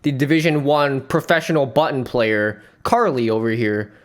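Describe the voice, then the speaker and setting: A man's voice: mid-range voice, moderate pace. A man streaming, giving rambling, playful reaction commentary on a dating show and laughing often.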